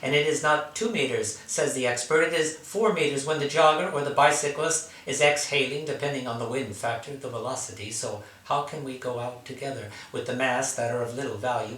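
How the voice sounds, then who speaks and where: Male spoken-word writer, sharp forceful tone, theatrical emphatic delivery, captured in a roomy echoing space. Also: on the high side. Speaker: writer reading own work. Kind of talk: dramatic spoken-word literary reading. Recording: echoey room, distant mic.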